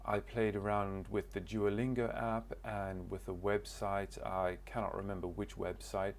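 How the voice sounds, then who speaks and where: A man's voice: fairly low pitch, little pitch movement, a little slow, creaky, slight background noise. A man from Cornwall, introducing himself to camera in a casual, hesitant way, often stopping to search for words. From Cornwall, England. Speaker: language learner.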